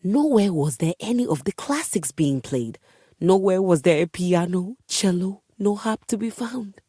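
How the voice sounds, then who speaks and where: A woman's voice: medium pitch, animated, a little slow, warm tone, slightly rough, no echo, clean studio sound. A woman voicing a haughty, melodramatic musical instrument with a composer's snobbery in a video game, speaking with theatrical, pompous emphasis. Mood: indignant. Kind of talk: video game character voice acting.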